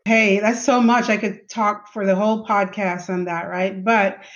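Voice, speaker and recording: A middle-aged woman, a podcast guest who tells her career story in a chatty, animated way, with many fillers and laughs. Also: mid-range voice, a little slow. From Jamaica. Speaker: guest, chief information officer. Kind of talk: conversational interview storytelling. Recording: slightly dull lo-fi audio.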